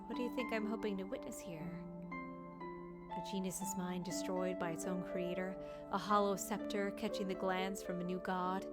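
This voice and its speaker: Expressive woman streamer over loud game music. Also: mid-range voice. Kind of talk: live playthrough commentary.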